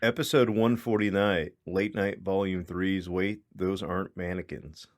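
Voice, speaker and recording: A man with a slightly low voice co-hosts a comedy podcast. He speaks informally and jokes along in loose, conversational banter. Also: steady tone, unhurried. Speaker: podcast co-host. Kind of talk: casual comedic podcast chat.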